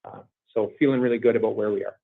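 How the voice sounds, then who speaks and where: Male corporate executive, low register, unscripted earnings-call answers with repeated words, thin phone-band sound. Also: fairly even intonation, fast talker, creaky, phone-quality sound. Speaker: company executive answering analyst questions. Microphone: conference call line.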